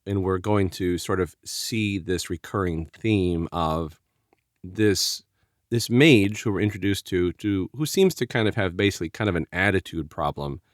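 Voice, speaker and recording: Low-voiced man in casual podcast discussion. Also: moderate pace, no echo, studio quality. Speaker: podcast co-host.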